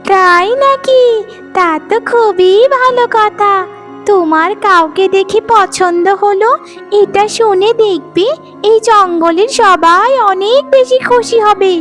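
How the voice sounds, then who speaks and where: A woman's voice: extremely high pitch, takes it slowly, fairly bright tone, soft background music, heavily acted. A woman voicing a kindly, encouraging forest companion in a cartoon fairy tale, with sing-song reassurance. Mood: delighted, encouraging.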